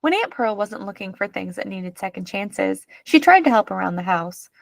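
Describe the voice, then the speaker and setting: A woman reading a children's picture book aloud as an expressive narrator. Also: medium pitch, normal speed, gravelly, no room sound.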